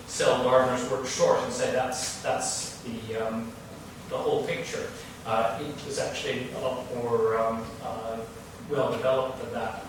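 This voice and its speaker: A middle-aged man from Canada giving an academic lecture, halting with many fillers and restarts. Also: mid-range voice, far from the mic. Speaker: guest lecturer. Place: large lecture hall. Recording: echoey hall recorded from afar, background noise.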